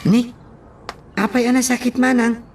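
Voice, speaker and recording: A woman's voice: fairly high pitch, flat delivery, a little slow, natural voice. A woman acting a naive, timid young housemate in an Ilocano radio drama, hesitant and questioning. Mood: puzzled. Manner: questioning, hesitant, surprised interjections. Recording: slightly distant mic, faint background noise.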